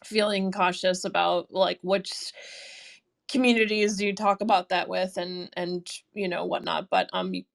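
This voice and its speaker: A woman's voice: fairly low pitch, fairly even intonation, moderate pace, gravelly. An American woman hosting a round table, interviewing casually with hesitant, tentative phrasing. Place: remote audio chatroom call.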